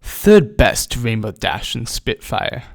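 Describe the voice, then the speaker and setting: A man's voice: medium pitch, very animated, vocal fry, heavily acted. A man voicing a devoted, reassuring young male pony sweetheart in an earnest way for a fan fiction story. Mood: affectionate. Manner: slow, earnest delivery.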